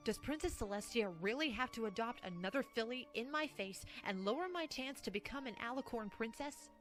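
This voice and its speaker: A woman's voice: a little high, lively intonation, normal speed, loud music behind the voice, natural voice. A woman voicing an ambitious, jealous young female pony student in an audio drama, smug and grumbling. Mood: jealous, annoyed.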